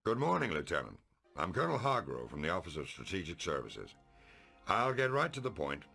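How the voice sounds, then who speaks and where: An actor playing an authoritative, plain-spoken American intelligence colonel giving a brisk mission briefing in a video game. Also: male voice, low-pitched, wildly swooping pitch, vocal fry, bright, forceful tone, soft background music, heavily acted. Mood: brisk, formal.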